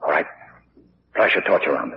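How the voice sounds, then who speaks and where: An actor playing a shrewd, commanding detective with clipped urgency in a 1940s radio mystery style. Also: male voice, very deep voice, animated, moderate pace, vocal fry, full character voice. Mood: urgent. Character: composed, commanding amateur detective, shrewd man. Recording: dull old radio recording, faint noise.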